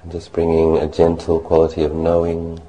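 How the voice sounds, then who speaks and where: A man leading a guided meditation as a monk, speaking gently and unhurriedly in short phrases with long pauses. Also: low voice, completely flat delivery, rough recording.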